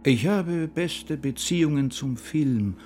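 Mellow, scheming, falsely courteous lodger. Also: male voice, a little high, expressive, slow pace, slight background noise. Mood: smarmy. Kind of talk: German radio play acting.

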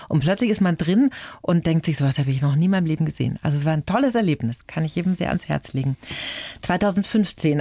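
A woman's voice: low voice, no room sound. Woman in her fifties, interview host, friendly, affirming, dry close sound. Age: 50s. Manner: friendly, affirming, steady flow of questions. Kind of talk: interview, asking questions.

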